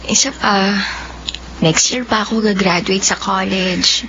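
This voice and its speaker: A woman's voice: medium pitch, fairly even intonation, measured pace, fairly bright tone, highs cut off, slight background noise. An actress playing a tearful young college woman who has just lost her parents, in a radio drama. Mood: gentle. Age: young adult.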